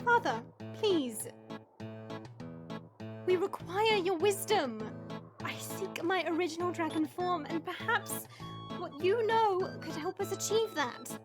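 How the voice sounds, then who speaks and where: Woman playing a high-voiced proud dragon princess. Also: expressive, slow pace, pauses often, music in the background, heavily acted. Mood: exasperated, pleading. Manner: formal, earnest, dramatic. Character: proud young female dragon princess in human form. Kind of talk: fantasy audio roleplay acting.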